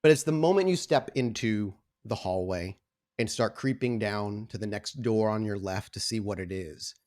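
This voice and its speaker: A man's voice: lowish voice, normal speed, a little mellow. A man working as game master, narrating a tabletop roleplaying game. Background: background music.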